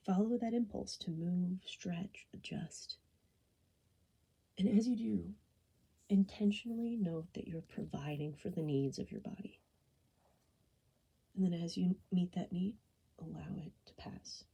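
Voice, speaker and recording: Female meditation guide: very mellow, lowish voice, soft and breathy, gentle soothing delivery that drifts into whispering. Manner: gentle, unhurried, soothing instruction. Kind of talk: guided body scan meditation.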